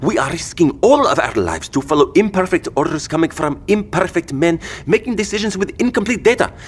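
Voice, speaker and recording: A man's voice: fairly high pitch, sing-song, fairly quick, slightly rough. A man playing an anxious, argumentative civilian father at his wit's end in an audio drama, speaking articulately. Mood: frustrated, challenging. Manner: pleading, articulate arguing.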